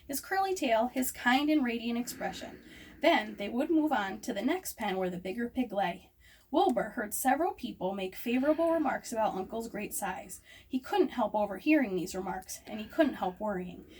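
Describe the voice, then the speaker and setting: Higher-voiced woman reading aloud, distant and noisy. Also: darkish tone. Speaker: storyteller reading a children's book aloud. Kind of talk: expressive read-aloud narration. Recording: distant microphone, noisy room ambience.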